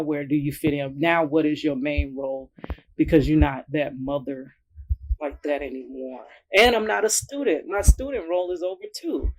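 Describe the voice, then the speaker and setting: A woman in her forties speaking as a mother in a casual family chat, reflective and quick to laugh. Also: low voice, a little mellow, low-quality recording. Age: 40s.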